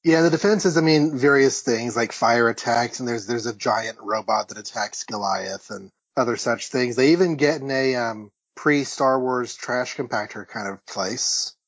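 A man's voice: mid-range voice, normal speed, hardly any pauses, slightly rough. A man co-hosting a podcast, casually recapping and discussing with enthusiastic, rambling asides. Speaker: podcast co-host. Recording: dull, lo-fi audio, slightly roomy.

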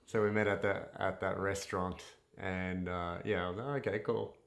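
A man born in Serbia co-hosting a casual couple's chat, easygoing and a bit halting as he talks. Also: deep voice, steady tone, gravelly, a bit bright. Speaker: podcast co-host.

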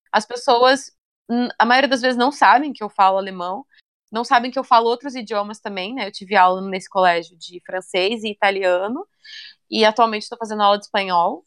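A young woman chatting casually in an interview, relaxed and talkative, often ending phrases with little tag questions. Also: on the high side. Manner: chatty, relaxed, many fillers like "né" and "sabe".

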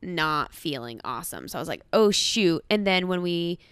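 A woman co-hosting a podcast, talking frankly and casually, with quick asides. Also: on the low side, animated, fairly bright tone, clear, resonant tone, no echo. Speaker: podcast co-host.

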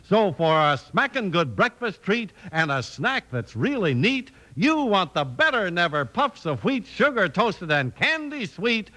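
Animated, high-pitched American radio announcer man. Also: measured pace, few pauses. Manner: emphatic, polished salesmanship. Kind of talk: 1950s radio announcing, scripted. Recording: vintage radio recording, music behind. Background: music.

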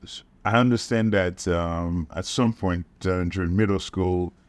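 A man with a low-pitched voice hosts an interview podcast. He speaks formally and deliberately, and the sound is dry with very little room. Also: dry recording. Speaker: podcast host, medical school dean.

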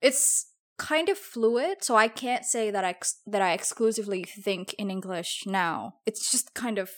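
A woman's voice: medium pitch, a little slow, fairly bright tone. Woman, Javanese speaker, chatting casually. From Java, Indonesia. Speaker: podcast host. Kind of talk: casual conversational podcast chat.

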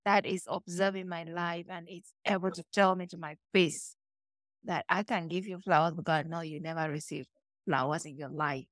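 Cameroonian woman telling lively stories. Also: on the low side, normal speed, no room sound. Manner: animated storytelling, acts out quoted dialogue. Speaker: guest. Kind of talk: conversational interview.

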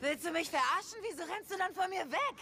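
A woman's voice: very high voice, lively intonation, quick pace, background noise. An actress in a dubbed TV series playing a menacing, erratic female killer, blunt and indignant. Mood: furious, indignant.

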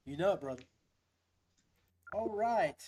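A man's voice: sits high, expressive, slow, a little mellow, breathy edge. A man hosting a casual fishing livestream, talking in a rambling, folksy, upbeat way. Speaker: podcast host.

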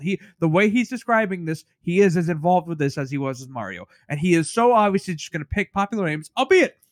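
A man's voice: high voice, dry recording. A man co-hosting a casual podcast, talking emphatically at length with a stuffy nose. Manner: emphatic, swears, talks at length. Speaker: podcast co-host. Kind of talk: casual chat.

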